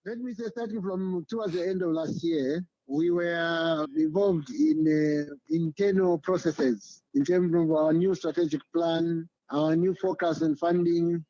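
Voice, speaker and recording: A man with a Zambian accent giving an unscripted interview statement as a chairperson, with hesitant, deliberate phrasing. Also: on the high side, dark tone, no echo. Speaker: association chairperson giving comment. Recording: dull, lo-fi interview clip.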